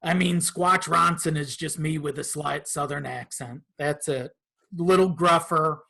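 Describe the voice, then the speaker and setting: A man's voice: on the high side, fairly even intonation, measured pace, gravelly, bright, forceful tone. A man talking casually as a podcast guest about his creative work. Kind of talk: casual chat.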